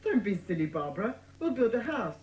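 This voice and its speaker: A woman's voice: mid-range voice, big pitch swings, a little fast, a little mellow, natural voice, low-quality recording. A woman playing a bossy, decisive schoolboy club leader in a dramatised children's audiobook, clipped and authoritative. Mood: decisive, keen.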